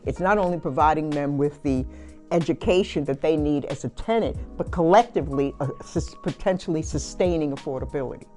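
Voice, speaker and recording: A woman's voice: low voice, brisk, warm tone, soft background music. A middle-aged woman tenant speaking in a documentary interview, assured and explanatory. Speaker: tenant advocate. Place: indoor room.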